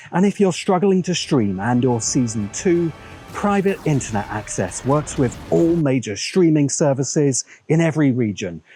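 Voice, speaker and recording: A man hosting a video essay, reading scripted narration in a measured, emphatic way with dramatic pauses. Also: a little high, dark tone, husky, no echo.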